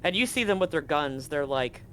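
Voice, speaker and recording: A man working as game master, improvising narration for a tabletop roleplaying game in a halting, deliberate way. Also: a little high, lively intonation, pushed and bright, slight background noise. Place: live-streamed game session.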